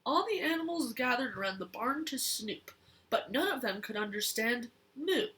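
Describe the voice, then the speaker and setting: A young child reading a picture book aloud in a deliberate, expressive way. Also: mid-range voice. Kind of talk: storybook read-aloud.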